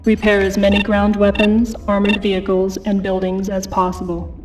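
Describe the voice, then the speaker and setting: A woman's voice: mid-range voice, completely flat delivery, normal speed, darkish tone, lightly acted. A woman voicing a terse, impersonal military announcer in a scripted video game mission briefing. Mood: detached, official. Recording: distant voice under music.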